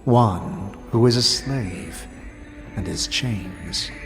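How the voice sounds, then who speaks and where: A man voicing a solemn, cryptic otherworldly being in a fantasy audio drama, measured and portentous, drenched in reverb. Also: low-pitched, creaky, a bit bright, full character voice. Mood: solemn, ominous. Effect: long reverb. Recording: long reverb with music behind.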